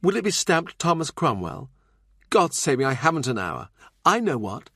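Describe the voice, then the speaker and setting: A defiant young noblewoman, voiced by a male audiobook reader, pitched well up. She is scornful and hot-tempered, with a haughty, emphatic edge, in a dry recording. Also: big pitch swings, normal speed, a bit bright, breathy edge, no room sound, heavily acted. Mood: frantic, angry.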